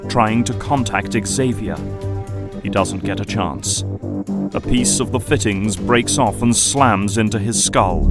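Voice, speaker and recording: A man narrating a scripted action drama in a dramatic storytelling style, with music behind him. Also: lowish voice. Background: loud dramatic music.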